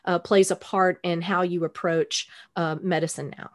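A woman's voice: fairly low pitch, little pitch movement, normal speed. A woman hosting a podcast interview, talking enthusiastically and repeating words. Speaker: podcast host. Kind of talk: casual interview.

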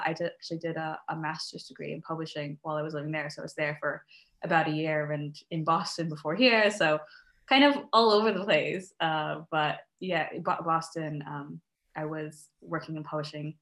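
A chatty young woman with an American accent, her voice sitting a little low, talking easily as a podcast guest. She pads her thoughts with ums and quick laughs. The sound is roomy, with a clear echo around her. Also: fairly bright tone. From United States. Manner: chatty, laughs often, many ums and restarts. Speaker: podcast guest, crochet designer. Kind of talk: casual interview chat.

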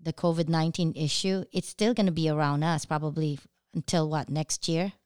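A woman with a Filipino accent hosts a relaxed podcast, chatting with her husband and laughing often. Her voice is smooth and clear and sits a little low for a woman. The sound is close and dry. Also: brisk, no room sound. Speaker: podcast host.

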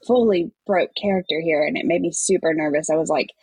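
A woman's voice: deep voice, quick pace, darkish tone, slightly rough, no echo. A woman co-hosting a podcast, chatting casually through a recap. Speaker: podcast co-host.

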